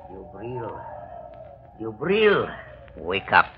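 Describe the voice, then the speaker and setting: An actor playing a menacing, vengeful escaped convict in an old radio thriller, with a rough, gravelly voice and grim theatrical delivery. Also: male voice, lowish voice, huge pitch swings, very slow, soft background music, exaggerated voice. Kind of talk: 1930s-40s radio thriller acting. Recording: dull, lo-fi old radio recording.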